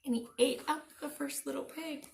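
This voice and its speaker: A woman storyteller reading a children's picture book aloud in an expressive, dramatic way, addressing young listeners. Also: high voice, moderate pace, creaky. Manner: dramatic, asks the listeners questions.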